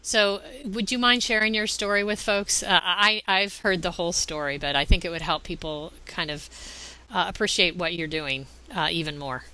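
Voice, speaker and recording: A woman's voice: on the low side, moderate pace, sharp tone, smooth, poor audio. A woman hosting a conversational interview podcast, effusive and given to chuckling.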